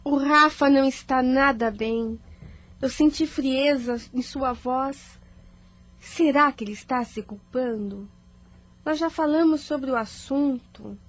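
A woman's voice: high-pitched, slow. A woman playing a devoted, anxious woman in love, tender and melodramatic, in a radio soap opera. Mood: brooding. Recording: noisy audio with ambient sound.

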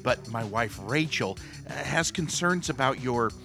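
Guy playing earnest preachy cohost, bright lively voice, prim, radio comedy banter. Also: medium pitch, measured pace, creaky, soft background music, full character voice. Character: earnest, principled, mildly preachy male radio sidekick. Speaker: radio co-host.

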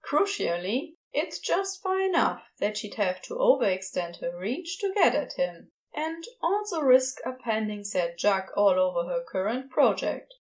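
A woman's voice: medium pitch, very animated, unhurried, few pauses. A woman narrating scripted fiction as the storyteller of an audio drama.

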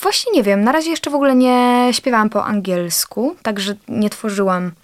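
A woman's voice: a little high, moderate pace. A young woman, a singer and interview guest, chatting casually in a rambling way and laughing often. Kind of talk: casual conversation.

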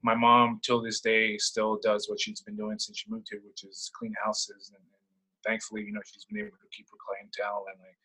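A man's voice: lowish voice, normal speed, gravelly, sharp tone, poor audio. A young man from El Salvador talking casually and personally in conversation, searching for words and repeating himself as he goes. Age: young adult.

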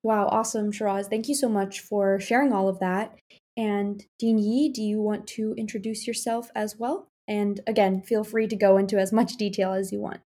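A woman's voice: medium pitch, little pitch movement, moderate pace. A woman hosting an interview, thanking a guest and inviting the next to speak. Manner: warm thanks, inviting prompts.

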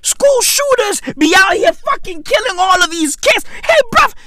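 A man, doing a comedic rant full of slang and sudden shouts. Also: very high-pitched, expressive, moderate pace, background noise. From South Africa. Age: 30s. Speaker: comedy podcast host.

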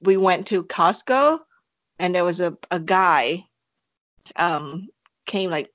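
A woman's voice: on the low side, slow pace, fairly bright tone, dry recording, lo-fi sound. A woman from Thailand, speaking English with a Thai accent, answering interview questions in a hesitant way with many fillers and restarts. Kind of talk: casual interview conversation.

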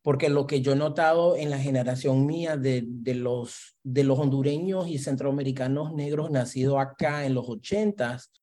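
A man's voice: medium pitch, fairly even intonation, normal speed, hardly any pauses, mellow. A man from Brooklyn with a Puerto Rican-influenced Spanish accent, speaking as an academic guest in an interview. He answers reflectively, slipping English words into his Spanish and ending phrases with "¿verdad?". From Brooklyn, New York. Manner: mixes English into Spanish, frequent "¿verdad?" tags.

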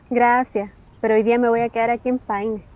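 A woman's voice: on the high side, little pitch movement, normal speed, a little mellow, lightly acted. A woman acting an inquisitive, guarded Chilean character in a naturalistic radio drama, with a conversational, questioning delivery. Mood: inquisitive, polite. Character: inquisitive, guarded woman named Amanda with a secretive past.

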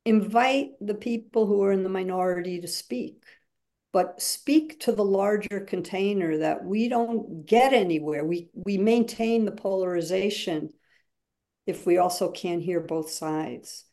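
A woman's voice: medium pitch, unhurried, warm tone. A woman speaking as a guest facilitator in a webinar, explaining emphatically and acting out examples as she goes.

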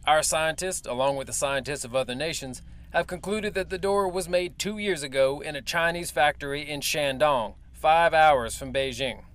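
An actor playing a male military major who briefs the press in a formal, measured and evasive way. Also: on the high side, fairly bright tone, close to an everyday voice. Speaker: official spokesman. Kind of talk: audio drama acting.

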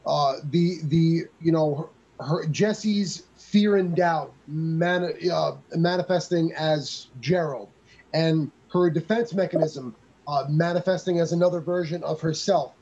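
A man's voice: fairly high pitch, unhurried, a little mellow, dull audio. A man co-hosting a casual movie review podcast, praising films with enthusiasm. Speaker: podcast co-host.

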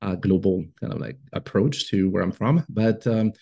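A man's voice: lowish voice, normal speed, vocal fry, dark tone, breathy edge. A man with a French accent, chatting casually as a podcast guest, hesitant with frequent fillers and laughs. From France. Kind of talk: casual interview chat.

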